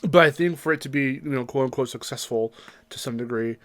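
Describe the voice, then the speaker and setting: A man's voice: mid-range voice, fairly quick, no room sound. A man co-hosting a podcast in a casual, rambling way, often hesitantly rephrasing his thoughts. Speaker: podcast co-host.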